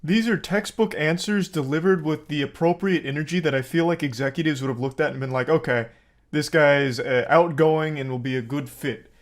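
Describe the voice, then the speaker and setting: A man's voice: on the high side, moderate pace. Male online host, dry sardonic commentary, casual delivery. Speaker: YouTuber host.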